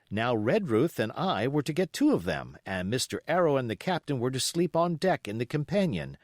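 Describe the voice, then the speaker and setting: A male audiobook narrator with a mid-range voice. He tells a scripted adventure story with very animated intonation, close and free of any room sound. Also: mid-range voice, dry recording.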